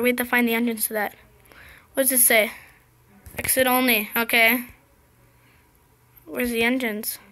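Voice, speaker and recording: A young girl commentating her gameplay in a casual, excited, chatty way, her voice slightly scratchy. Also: on the high side, creaky. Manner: excited, chatty, impatient exclamations. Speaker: gameplay video host.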